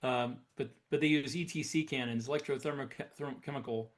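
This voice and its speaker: A man's voice: medium pitch, steady tone, a little fast. A man speaking as a podcast co-host in casual, enthusiastic, explanatory hobbyist chat. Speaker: podcast co-host, science fiction author. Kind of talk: casual hobbyist chat about sci-fi weapons.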